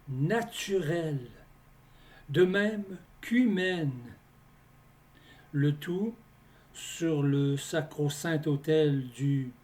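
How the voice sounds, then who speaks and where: A man reciting his poems in a scripted, deliberate delivery, phrase by phrase with pauses between them. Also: on the high side, darkish tone. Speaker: poet reading own poems. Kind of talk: slow scripted poetry recitation.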